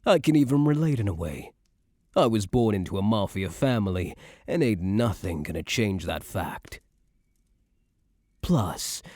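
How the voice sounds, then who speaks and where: A man playing a cocky young mafia heir who is a doting but menacing boyfriend, in an intimate ASMR roleplay with slow, smug tough-guy slang. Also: lowish voice, warm tone, no room sound, clean studio sound.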